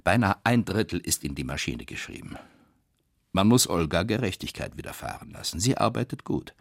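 Radio drama storyteller: wry, husky bass, lively delivery, close dry studio sound. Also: male voice, brisk, no echo, exaggerated voice. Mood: reflective. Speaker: actor reading narration and letters.

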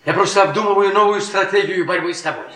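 An actor playing a bitter, needling middle-aged husband, a failed professor, in a theatrical radio play with a mocking, repetitive delivery. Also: male voice, high voice, fast talker, reverberant, far from the mic, a crowd in the background, lightly acted. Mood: sly. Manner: sardonic, mocking, repeats himself. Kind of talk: stage-style radio play acting.

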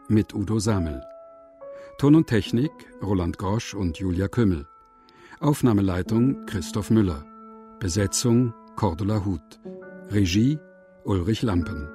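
Low-voiced male announcer over soft guitar. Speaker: radio announcer. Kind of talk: reading production credits. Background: soft guitar music.

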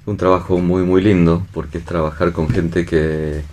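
Deep-voiced Argentine man in interview. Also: level tone, moderate pace, darkish tone. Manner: seeks agreement with tag questions. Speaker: theatre and film director being interviewed. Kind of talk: casual explanatory interview talk.

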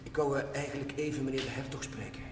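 An actor playing an amiable, modest chaplain who speaks hesitantly and repeats himself, in a television drama. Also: male voice, mid-range voice, fast, lightly acted. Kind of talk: television period drama acting. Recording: echoey hall with background noise.

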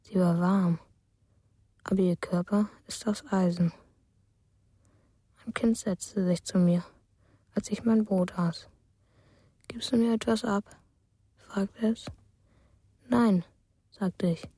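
A woman playing a wistful narrator of a lonely journey in a radio drama, quiet and measured. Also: mid-range voice, fairly even intonation, breathy edge, dry recording. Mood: wistful, dreamy.

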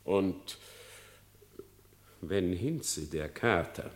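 Sly, theatrically wheedling fox. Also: male voice, sits low, lively intonation, extremely slow, heavily acted. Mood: self-justifying. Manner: theatrical, wheedling, mock-pious. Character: sly, flattering fox, feigning piety and innocence. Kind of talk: literary radio play in verse.

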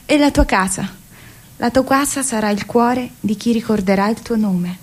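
Woman acting as solemn oracle lady, kinda high voice, reciting poetic prophecy for radio theatre. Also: normal speed, darkish tone, natural voice. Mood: solemn, prophetic. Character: lyrical female oracle foretelling a wanderer's future.

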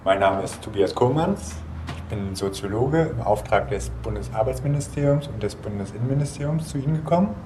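A man's voice: mid-range voice, lively intonation, fairly quick, background noise, close to an everyday voice. An actor playing an officious government sociologist in a radio play, speaking formally and matter-of-factly as he conducts an interview. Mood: formal, businesslike. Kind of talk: German radio play acting.

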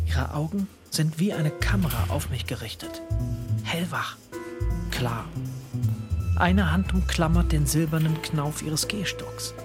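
A man playing an observant, quietly tense first-person narrator in a German radio play, reading literary prose. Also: fairly high pitch.

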